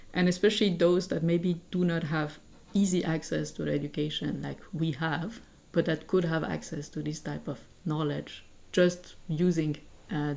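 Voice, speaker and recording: Woman from Switzerland, forties, somewhat low voice, earnest, thinking aloud, many fillers. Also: creaky, a little mellow. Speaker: guest, assistant professor and founder. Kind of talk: conversational interview answers.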